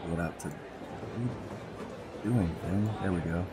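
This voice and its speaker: A congested man giving casual gameplay commentary as a let's play host, relaxed and thinking aloud as he clicks. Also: low voice, measured pace, noisy background. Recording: background music under voice.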